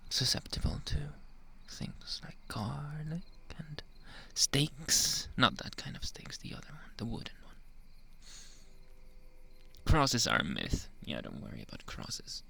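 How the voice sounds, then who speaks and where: Slow, gravelly man playing a flirty werewolf. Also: mid-range voice, pushed and bright, exaggerated voice. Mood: teasing. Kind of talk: ASMR roleplay monologue.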